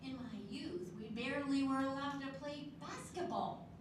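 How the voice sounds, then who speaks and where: A woman's voice: sits high, moderate pace, natural voice. An older woman reading the part of a blunt, humorous old woman who loves her food, in a readers theatre play. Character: blunt, hungry older woman friend, fond of sweets. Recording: echoey hall, distant mic, background noise.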